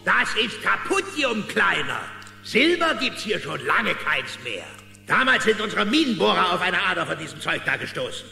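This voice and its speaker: An actor playing a pompous, greedy small-town sheriff who acts as judge in a children's cartoon radio play. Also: male voice, high-pitched, big pitch swings, normal speed, vocal fry, sharp tone, heavily acted. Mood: sly, smug. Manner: pompous, domineering. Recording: echoey hall reverb, faint music.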